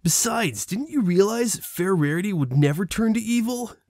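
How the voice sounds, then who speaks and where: A man's voice: sits high, lively intonation, normal speed, a little mellow, no room sound, studio quality, pitched above the performer's own voice. A man voicing a solemn, heroic royal cartoon pony in a dramatic, declamatory manner. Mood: grave.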